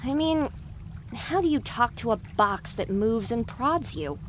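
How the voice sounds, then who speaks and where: Woman playing a high-voiced sarcastic young pony. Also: expressive, unhurried, a little hiss or hum. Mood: wry. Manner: wry, exasperated. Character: sarcastic, exasperated young female pony from an underground stable. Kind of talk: audio drama acting.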